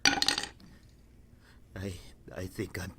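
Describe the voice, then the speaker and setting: A man playing an anguished, hesitant young suitor in old-time radio drama style, halting and stammering, on a noisy track. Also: low-pitched, monotone. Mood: shaken, faint. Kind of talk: 1940s radio acting.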